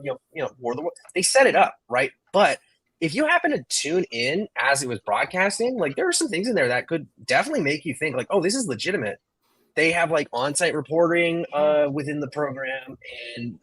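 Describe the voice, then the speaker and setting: A man in his thirties talks casually on a panel. His fairly high voice is husky and moves with very animated pitch. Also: dry recording. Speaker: panelist who works in film. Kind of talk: casual chat. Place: home room on a video call. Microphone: video call.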